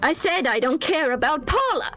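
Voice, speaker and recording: A woman's voice: high-pitched, sing-song, normal speed, over music. A woman playing a haughty, ruthless, fed-up spellcaster in a tabletop game, theatrical, sardonic and defiant. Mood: coldly dismissive.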